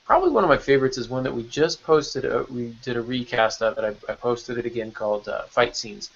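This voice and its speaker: A man's voice: mid-range voice, lively intonation, a little fast, gravelly, a bit bright, muffled recording. A man hosting a podcast in a casual, enthusiastic and encouraging way. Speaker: podcast host.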